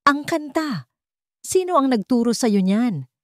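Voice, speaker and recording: A stern, suspicious old gentleman acted out by a woman in a dramatic audio story. He is forceful and demanding, full of emotion, the pitch pushed up above plain narration in a dry recording. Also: animated, unhurried, no room sound. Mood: angry, shaken. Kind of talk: audio story character dialogue.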